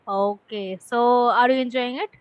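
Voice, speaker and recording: A woman's voice: fairly high pitch, fairly even intonation, unhurried. A woman with an Indian accent, an English teacher chatting casually and encouragingly with a student through simple questions. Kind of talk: casual conversation practice.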